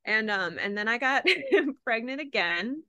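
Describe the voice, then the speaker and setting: A woman in her thirties, a podcast guest, telling her story in a bubbly, animated way with quick laughs. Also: on the high side, dry recording, lo-fi sound. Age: 30s. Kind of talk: casual interview chat.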